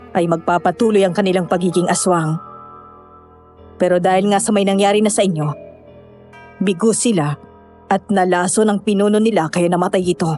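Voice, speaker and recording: A woman's voice: medium pitch, warm tone, natural voice. A woman playing a knowing, solemn villager who explains and cautions in a horror audio drama. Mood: grave.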